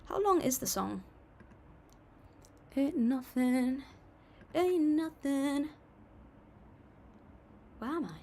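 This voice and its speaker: A woman's voice: high voice, big pitch swings, extremely slow, long pauses, a little mellow. Young woman, livestream host, casual chat, reads comments aloud, friendly and apologetic. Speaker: livestream music reactor.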